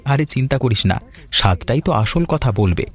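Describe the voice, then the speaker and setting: A man voicing a friendly young food seller, earnest, polite and chatty, in an animated story. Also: mid-range voice, normal speed, natural voice. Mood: eager, friendly. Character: eager young fish-fry seller, friendly village man.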